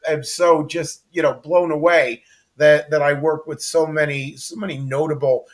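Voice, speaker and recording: An American man with a fairly high voice hosts a relaxed interview. He chats informally and with enthusiasm, like a colleague swapping memories. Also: moderate pace. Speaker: podcast host, former radio colleague. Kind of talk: casual interview chat.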